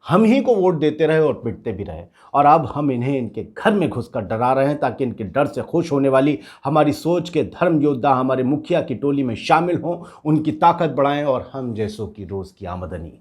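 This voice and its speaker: A man's voice: mid-range voice, lively intonation, moderate pace, hardly any pauses, darkish tone, close to an everyday voice. A man acting as a cocky, cynical street agitator in a satirical drama, with brash, boastful, slangy talk that turns to menace. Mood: smug. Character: cocky, cynical street agitator paid to stir religious hatred. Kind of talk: scripted satirical dialogue in street Hindi.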